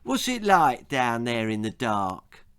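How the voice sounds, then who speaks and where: A man's voice: mid-range voice, wildly swooping pitch, slow, fairly bright tone, smooth, heavily acted. A man voicing a cruel schoolboy bully with a taunting, sneering manner, in a children's storybook reading. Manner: taunting, sneering, mock baby-talk.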